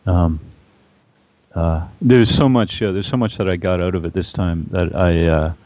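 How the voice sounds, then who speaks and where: A man's voice: deep voice, steady tone, normal speed, a little mellow, no room sound, highs cut off. A man in a book club discussion, talking hesitantly with many fillers. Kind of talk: casual discussion.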